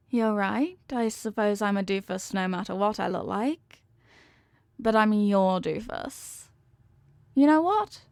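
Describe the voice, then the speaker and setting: A woman's voice: medium pitch, expressive, slow pace, vocal fry, pauses often, a little mellow, dry recording, clean studio sound. A woman playing a sweet, devoted headless horsewoman girlfriend in an intimate ASMR roleplay, affectionate, playful and gushing.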